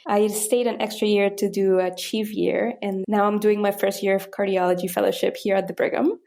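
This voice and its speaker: A woman's voice: medium pitch, flat delivery, brisk, creaky, darkish tone. A young woman from Colombia, a medical fellow introducing herself as a podcast guest. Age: young adult. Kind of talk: self-introduction.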